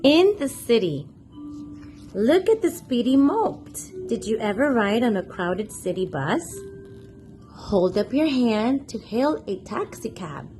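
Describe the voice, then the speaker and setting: Animated woman reading to children, unhurried. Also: a little high, soft background music. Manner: clearly paced, expressive, addresses young listeners with questions. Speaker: children's read-aloud storyteller and channel host. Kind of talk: children's picture book read-aloud.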